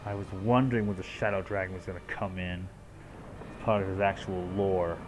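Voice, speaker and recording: Low-voiced man streaming a game. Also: fairly even intonation, brisk, background noise. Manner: blunt, sweary. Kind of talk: casual live reaction commentary. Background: game music.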